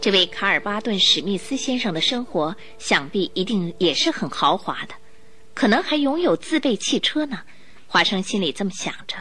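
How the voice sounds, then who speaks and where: Woman narrating a radio play, lively storyteller delivery, soft music under her. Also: mid-range voice, moderate pace. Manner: storytelling, expressive. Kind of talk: scripted radio drama narration.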